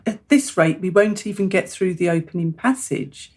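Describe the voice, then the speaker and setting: A woman acting an earnest, exasperated middle-aged mother in a radio play, speaking in an insistent, measured way. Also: fairly low pitch, expressive, a little mellow.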